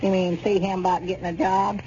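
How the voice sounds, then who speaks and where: An actor playing a plainspoken old storekeeper with a rural Southern US accent in a 1930s radio comedy. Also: male voice, sits high, flat delivery, a little fast, dark tone. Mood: concerned. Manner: rustic, homespun phrasing. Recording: muffled, noisy 1930s radio recording.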